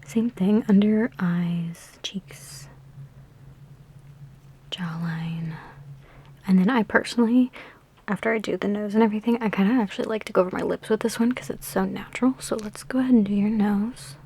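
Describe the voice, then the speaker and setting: A woman in an ASMR roleplay speaking in a soft, breathy whisper mixed with hushed talk, with a sassy, teasing, chatty big-sister manner, close to the microphone. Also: mid-range voice, steady tone, normal speed. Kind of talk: ASMR personal attention roleplay.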